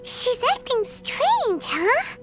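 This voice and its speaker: A tiny floating fairy girl for an anime-style game. The voice is extremely high, childlike and squeaky, with excitable, swooping delivery. Loud music plays behind it. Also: very slow, full character voice. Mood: puzzled. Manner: childlike, speaks of herself in third person.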